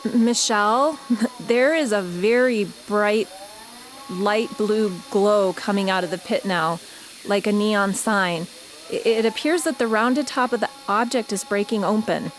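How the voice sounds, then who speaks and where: A woman playing a frightened field reporter in a radio drama, phoning in a halting, breathless eyewitness account. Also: medium pitch, natural voice, poor audio. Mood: awed, uneasy. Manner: halting, breathless, repeating herself. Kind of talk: modern radio drama acting.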